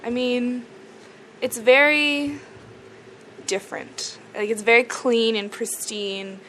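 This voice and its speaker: A woman's voice: fairly high pitch, steady tone, takes it slowly, sharp tone, a little hiss or hum. Giggly young woman from South Africa. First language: Afrikaans. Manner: giggly, easygoing, dry jokes. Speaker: musician guest. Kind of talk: casual interview chat.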